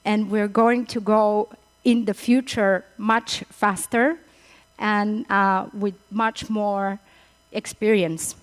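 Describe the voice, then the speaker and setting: A woman's voice: medium pitch, steady tone, slow, clear, resonant tone. A middle-aged woman with a Bulgarian accent giving a conference speech, with hesitant phrasing and repeated words. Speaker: conference speaker. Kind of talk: opening speech at a summit.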